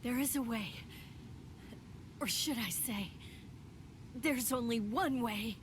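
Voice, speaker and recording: A woman voicing a stern, composed female spirit warrior in an anime game dub, with formal, measured and commanding delivery. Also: a little high, noisy background. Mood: grave, measured. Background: background music.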